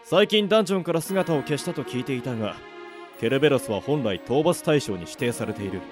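A man playing a formal, measured, authoritative prison warden in a radio drama. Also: medium pitch, lively intonation, music in the background, lightly acted. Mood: cool, stern. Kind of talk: amateur radio drama acting.

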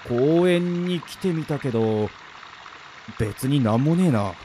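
A man's voice: a little high, expressive, takes it slowly, warm tone, slight background noise. Man acting a grumbling, blunt young guy. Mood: puzzled, muttering. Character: grumbling, unlucky, skeptical casual young man. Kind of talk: Japanese radio drama acting with monologue.

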